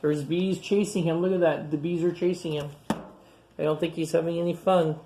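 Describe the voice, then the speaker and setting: A man's voice: on the high side, level tone, warm tone, a little hiss or hum, low-quality recording. A man hosting a storytime, reading a picture book aloud to children and stopping to comment on the pictures in simple, repetitive phrases. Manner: pauses to point out and comment on pictures. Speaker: storytime reader and host.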